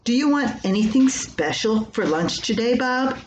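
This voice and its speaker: A woman's voice: a little high, animated, normal speed, voice pushed up, lightly acted. Older lady doing a farm wife whos patient but fed up, wry and chiding, homespun story, echoey room. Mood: patient, coaxing. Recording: roomy echo with faint background noise.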